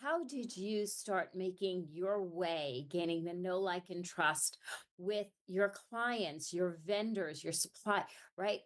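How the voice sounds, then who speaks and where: A woman's voice: fairly low pitch, slow pace. Reflective woman podcast host. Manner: reflective, thinks aloud with fillers "you know" and "like". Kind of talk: conversational interview.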